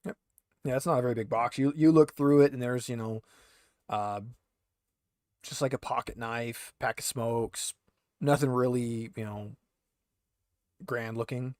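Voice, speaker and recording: A man's voice: mid-range voice, steady tone, measured pace, long pauses, a little mellow, no echo, studio quality. A man speaking casually as the game master of an online tabletop roleplaying game. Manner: casual, conversational.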